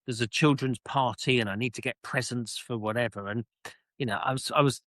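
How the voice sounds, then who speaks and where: A middle-aged British man telling his own story as an interview guest, reflective and halting, often repeating words as he searches for phrasing. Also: mid-range voice, steady tone, fairly bright tone, dry recording, studio quality. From United Kingdom. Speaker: interview guest, author and former army officer.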